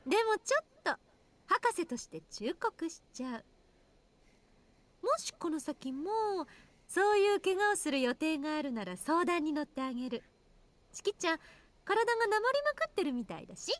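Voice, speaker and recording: Sly, very high-pitched teasing woman doctor. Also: sing-song, fast talker, no echo. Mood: sly, coy. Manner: coy, singsong, mocking. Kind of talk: Japanese visual novel voice acting.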